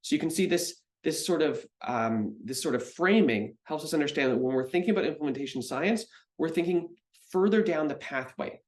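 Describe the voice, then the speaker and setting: Canadian man giving a relaxed recorded lecture. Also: on the high side, brisk. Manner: conversational with frequent ums and uhs. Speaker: researcher giving a recorded talk. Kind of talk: presentation lecture.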